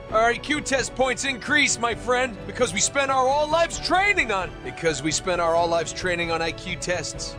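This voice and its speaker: Man, comic voice-over narrator, playful and joking, addresses the viewer directly, high voice, soft music underneath. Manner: playful, jokey, talks straight to the viewer. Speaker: explainer video narrator.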